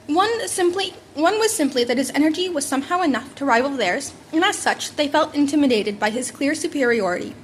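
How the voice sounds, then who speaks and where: High-voiced woman reading a comic story. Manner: stumbles and rereads phrases. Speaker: narrator reading fan fiction aloud. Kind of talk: amateur audiobook narration of a comic story. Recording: home recording with background noise.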